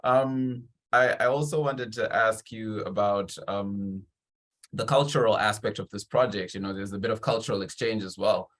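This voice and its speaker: Male interviewer, English, slightly low voice. Informal and appreciative, builds questions at length. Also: moderate pace, a bit bright. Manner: encouraging, appreciative, wordy questions. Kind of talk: conversational interview.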